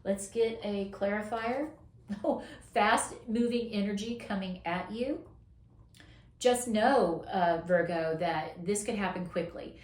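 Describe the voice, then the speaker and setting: A woman tarot reader talks through a casual weekly reading in an encouraging, affirming tone. She stretches out the words she wants to stress. Her voice is going hoarse, and the room gives it a noticeable echo. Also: medium pitch, darkish tone. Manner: encouraging, affirming, drawn-out emphasis. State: losing her voice, coughing.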